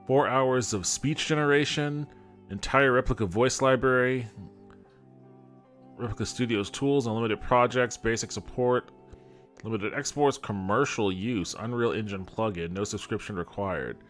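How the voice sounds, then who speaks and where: A man's voice: medium pitch, moderate pace, fairly bright tone, faint music behind. A man giving casual, off-the-cuff commentary as a streaming host, with a sardonic, skeptical way of talking and some swearing. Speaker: host of a gaming podcast and stream.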